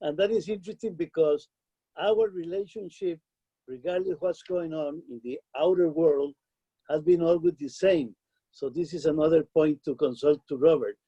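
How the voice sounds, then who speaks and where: A man from Costa Rica, speaking in a formal, measured way on a video call. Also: fairly high pitch, animated, gravelly, warm tone, breathy edge, dry recording. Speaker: call participant. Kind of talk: casual video call chat. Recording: dull lo-fi video call audio.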